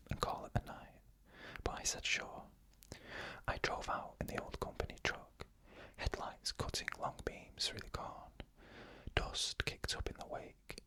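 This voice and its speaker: An ASMR storyteller who reads eerie first-person stories in a soft, unvoiced whisper, with measured pauses between short phrases.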